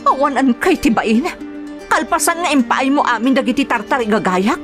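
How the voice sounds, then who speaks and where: A woman's voice: high voice, moderate pace, over music, natural voice. A woman with an Ilocano Filipino accent playing a defiant, distressed captive in an audio drama. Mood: angry, defiant. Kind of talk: amateur radio-style drama acting.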